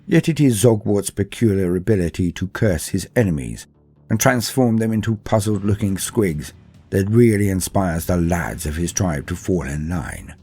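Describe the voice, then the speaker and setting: A man reading a scripted lore history in a measured, steady storytelling delivery. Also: low voice, slightly rough, soft background music. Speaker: lore narrator. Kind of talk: scripted narration.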